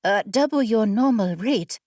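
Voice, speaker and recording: A woman's voice: medium pitch, lively intonation, dark tone, dry recording, studio quality. A woman voicing a clever, bookish female traveler in an audiobook, earnest and precise with a doubtful edge. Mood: doubtful, dry. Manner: earnest, haltingly questioning, precise wording. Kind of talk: audiobook character voice.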